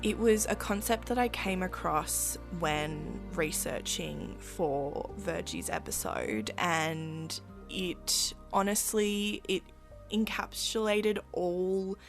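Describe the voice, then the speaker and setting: A woman podcast host speaking candidly and personally in a measured way. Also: fairly low pitch, creaky, music in the background. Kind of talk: podcast conversation.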